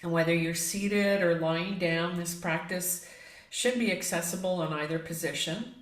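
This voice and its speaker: A woman leading a guided yoga relaxation as the instructor, speaking in a gentle, measured, inviting way. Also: lowish voice. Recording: echoey, reverberant room.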